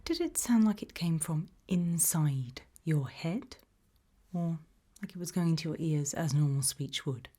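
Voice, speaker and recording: A British woman doing an ASMR roleplay interview, asking gentle questions in a soft, breathy whisper. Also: low-pitched, lively intonation, a little slow. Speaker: ASMR roleplay creator playing an investigator.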